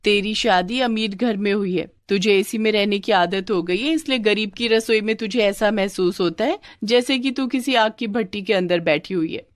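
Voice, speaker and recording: A woman's voice: medium pitch, moderate pace, few pauses, no room sound, close to an everyday voice. A woman voicing a bossy, exasperated elder sister from a village in a cartoon story. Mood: chiding. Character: scolding, hard-working village elder sister. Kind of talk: cartoon moral story acting.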